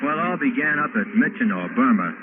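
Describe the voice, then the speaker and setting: A man's voice: medium pitch, big pitch swings, quick pace, narrow, tinny audio, background noise. An American man, a soldier and songwriter, chatting as a guest in a 1940s radio interview recorded on a wire recorder. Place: enlisted men's club at a party.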